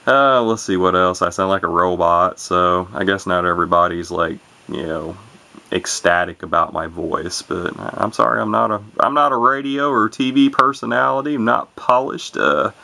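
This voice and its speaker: Hobbyist vlogger, man, low gravelly voice with a bright edge, runs his words together. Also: normal speed. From Illinois, USA. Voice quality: low gravelly voice, talks monotone. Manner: rambling, many fillers and restarts, runs words together. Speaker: YouTuber showing vintage radios. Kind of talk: casual unscripted talk to camera.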